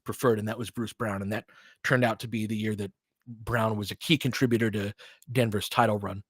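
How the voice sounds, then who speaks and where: Male play-by-play host for basketball. Conversational, thinks out loud, breaks down the action, recorded dry. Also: fairly low pitch, fairly even intonation, fairly quick, husky, no room sound. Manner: conversational, thinking aloud, analytical. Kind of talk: live basketball commentary with casual asides.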